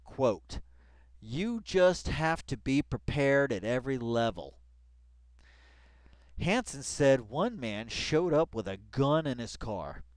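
A man reading along with an article and commenting casually, rambling and excitable, repeating phrases for emphasis and swearing freely. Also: medium pitch, takes it slowly, no room sound. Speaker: video commentator.

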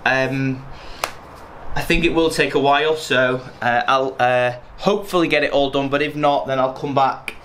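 A man's voice: mid-range voice, fairly even intonation, normal speed, a bit bright. A man in his thirties, chatting casually through his plans with lots of fillers, filmed on a handheld camera in his garage gym. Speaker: vlogger. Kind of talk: casual vlog narration. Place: garage home gym, indoors. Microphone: handheld camera at arm's length. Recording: echoey garage with faint hum.

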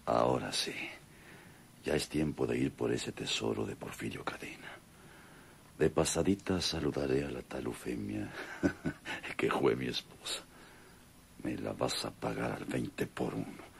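Un actor que interpreta a un villano mexicano codicioso y vengativo de radionovela, teatral y rasposo, que habla para sí mismo. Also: male voice, very deep voice, a little slow, long pauses, a little hiss or hum, exaggerated voice. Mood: gloating, scheming. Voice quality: gravelly. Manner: muttering to himself, theatrical. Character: greedy, vengeful, scheming male villain landowner. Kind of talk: Mexican radio drama acting.

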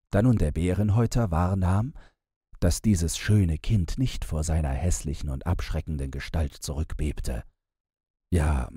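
A man, narrating a bedtime fairy tale in a measured, gentle storytelling manner, close to the microphone. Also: sits low, husky, no room sound. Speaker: audiobook narrator.

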